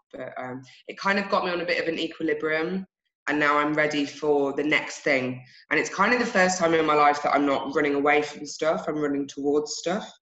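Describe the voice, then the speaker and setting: An English woman guest talks frankly and reflectively, with a self-deprecating edge. Her voice is bright, and the recording comes over a roomy, dull-sounding remote line. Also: low voice. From England. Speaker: podcast guest. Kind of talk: candid personal story in an interview.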